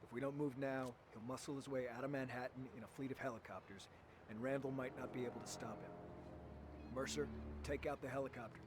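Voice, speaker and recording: An actor playing a calm, calculating military insider in a video game, giving terse, commanding instructions. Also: male voice, mid-range voice, brisk, noisy background, natural voice. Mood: urgent briefing. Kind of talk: video game cutscene acting. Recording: loud game music behind voice. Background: loud music.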